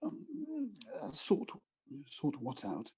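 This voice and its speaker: A man in an audio play acting a devoted, teasing companion with a droll, expressive delivery. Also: sits high, very slow, slightly rough, heavily acted. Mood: sleepy, patient. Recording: dull personal-device recording, music behind.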